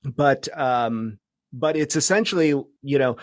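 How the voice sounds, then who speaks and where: Casual man explaining, mid-pitched, dry sound. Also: animated, measured pace, dry recording. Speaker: podcast guest, producer. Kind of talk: casual interview talk.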